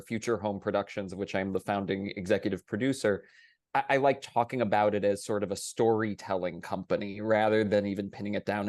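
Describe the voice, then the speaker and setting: Male arts panelist, lowish voice, close dry sound, conversational. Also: darkish tone, dry recording. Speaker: panelist, director and producer. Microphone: video call.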